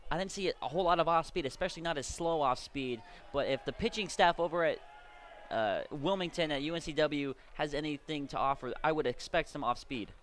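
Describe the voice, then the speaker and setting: A man with a slightly high voice works as a baseball color commentator. He talks through the game conversationally, offering analysis for a live broadcast. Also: a little fast, a bit bright.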